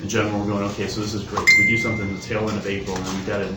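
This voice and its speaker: A man with a fairly low voice talks informally at a committee meeting, thinking aloud as he goes. He sounds far from the mic in an echoey room, with heavy background noise. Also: little pitch movement, rapid-fire. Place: indoor room at a public meeting.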